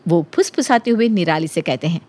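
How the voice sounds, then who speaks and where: A woman narrating a scripted animated story as its storyteller. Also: lowish voice, fairly quick, husky.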